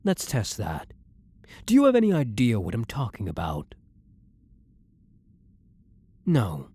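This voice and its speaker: Casting: male actor as centuries-old vampire hunter for ASMR drama. Blunt, commanding, husky and breathy, unhurried, recorded very dry. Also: fairly low pitch, huge pitch swings, dark tone, no echo, heavily acted. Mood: intrigued, suspicious. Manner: blunt, commanding, interrogating, slow and deliberate. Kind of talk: ASMR roleplay, intimate dramatic monologue.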